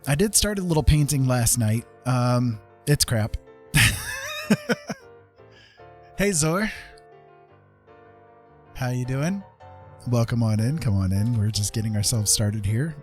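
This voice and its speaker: A man's voice: mid-range voice, lively intonation, takes it slowly, pauses often, a little mellow. A man chatting casually and laid-back on a livestream, thinking aloud as he paints. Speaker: streamer, digital painter. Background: piano music.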